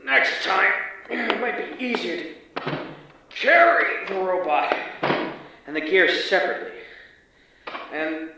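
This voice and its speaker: A weary, grumbling field agent in an audio drama, heard from a distance in a very echoey space. His voice is bright and forceful, slow and halting, with pitch that swings widely. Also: lively intonation, vocal fry, exaggerated voice. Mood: strained, irritated. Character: weary, grumbling male field agent reporting while exploring. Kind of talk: audio drama field log, casual spoken reports. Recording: very echoey, distant, faint noise.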